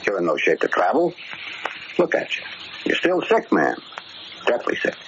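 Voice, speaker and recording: A low-voiced male prison warden, in 1940s radio drama style, concerned and insistent. His delivery swings expressively between paternal worry and exasperation. The vintage broadcast sounds somewhat muffled. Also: huge pitch swings, normal speed, noisy background. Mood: exasperated, concerned. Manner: earnest, insistent, questioning.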